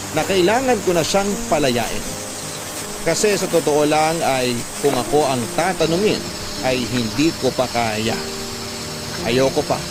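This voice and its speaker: A man reading a scripted first-person story as a drama podcast narrator, in an earnest, dramatic way. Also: fairly high pitch. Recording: voice over loud music bed.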